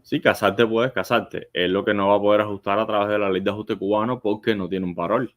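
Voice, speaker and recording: A man's voice: fairly low pitch, steady tone, a little fast. A man speaking as a guest immigration lawyer, giving plain-spoken, step-by-step legal advice on a live show.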